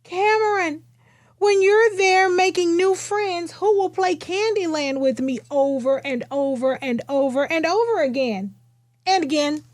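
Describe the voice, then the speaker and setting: A woman voicing fretful storybook relatives and neighbours, putting pleading, drawn-out questions to a little girl. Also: very high-pitched, darkish tone, no echo, studio quality. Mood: worried, pleading.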